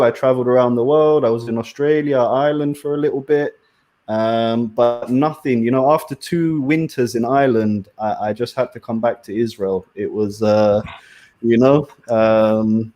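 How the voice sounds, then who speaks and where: A man's voice: mid-range voice, fairly even intonation, normal speed, mellow. A young man with an English accent hosting a podcast, friendly and chatty. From raised in the UK. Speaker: podcast host. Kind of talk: casual chat.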